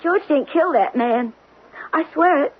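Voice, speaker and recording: Casting: high-pitched, desperate woman defending her lover, emphatic, old radio play on a tinny broadcast. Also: expressive, unhurried. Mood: desperate pleading. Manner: pleading, emphatic. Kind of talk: old-time radio drama acting.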